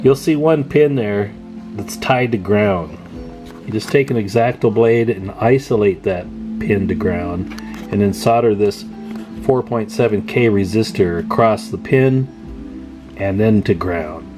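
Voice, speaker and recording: Lowish voice guy doing a casual hobby how-to, folksy, guitar music going behind him. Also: a little slow. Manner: informal, folksy, addressing viewers as guys. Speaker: radio repair hobbyist and video host. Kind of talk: casual hands-on demonstration chat. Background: plucked guitar music.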